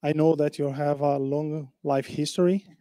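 A man's voice: fairly high pitch, steady tone, normal speed, mellow, dry recording, studio quality. A man in his forties moderating a panel, chatty and joking, using a handheld mic in a meeting room. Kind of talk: panel conversation.

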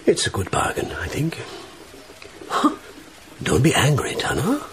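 An actor playing a breezy, feckless Swedish baron and husband in a radio drama. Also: male voice, medium pitch, wildly swooping pitch, a little slow, noisy background. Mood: cajoling.

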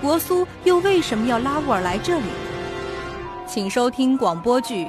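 A woman's voice: sits high, big pitch swings, moderate pace. A woman reading scripted dramatic narration for a suspense radio play. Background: music behind the voice.

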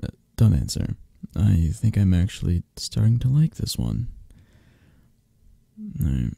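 A man playing a possessive, flirtatious, intimidating mafia boss in an ASMR roleplay, husky and murmuring, with drawn-out teasing pauses. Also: lowish voice, big pitch swings, warm tone, no echo, studio quality, full character voice. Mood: intrigued, flirtatious. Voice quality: husky, gravelly, at times breathy.

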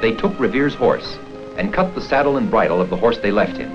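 A man reading scripted documentary narration for an educational history film in a formal, measured storytelling manner. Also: fairly low pitch, expressive. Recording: dull 16mm film soundtrack with music.

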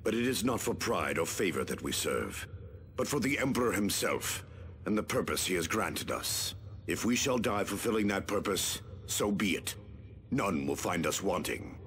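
A devout warrior captain in a video game, speaks low with firm resolve. His voice is extremely husky and rasping. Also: expressive, moderate pace, fairly bright tone, exaggerated voice. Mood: solemn resolve. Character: devout, resolute male space marine captain. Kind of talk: video game character acting.

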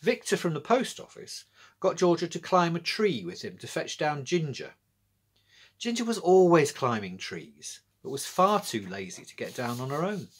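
A man's voice: fairly high pitch, sing-song, moderate pace, slightly rough. A middle-aged British man narrating a children's story as a storyteller. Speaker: author and storyteller. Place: small indoor room with bookshelves.

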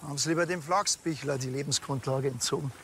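An actor playing a gruff, sarcastic Bavarian policeman in a crime comedy, dry and laconic. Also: mid-range voice, fast talker, fairly bright tone, slight background noise. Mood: deadpan.

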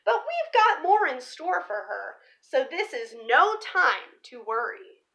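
A young woman presenting to children in an expressive storybook read-aloud style, stressing key words like a teacher. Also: high voice, slow, vocal fry. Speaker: teacher reading a picture book aloud for children. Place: small living room or room at home, indoors.

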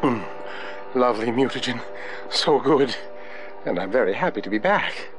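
An actor voicing a creepy, indignant older mad scientist in a cartoon game, pompous and theatrical. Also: male voice, medium pitch, animated, normal speed, lightly acted, poor audio. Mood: creepily pleased. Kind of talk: cartoon video game acting. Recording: loud music behind the voice.

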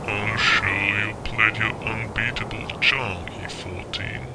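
A male intelligence chief in a sci-fi audio drama. The voice is gravelly and flat, terse and authoritative, with clear noise behind it. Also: on the low side, slow. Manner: terse, dry.

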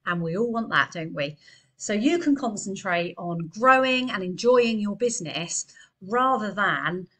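A woman's voice: medium pitch, expressive, measured pace, fairly bright tone. A woman in her thirties, an accountant, giving earnest, chatty business advice straight to a webcam in a small room. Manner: chatty, earnest, gesturing with hands. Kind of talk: direct-to-camera business advice.

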